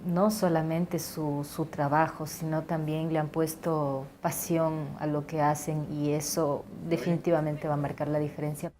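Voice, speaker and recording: Mujer de voz grave. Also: female voice, deep voice, flat delivery, moderate pace, few pauses, background noise. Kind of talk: earnest interview talk.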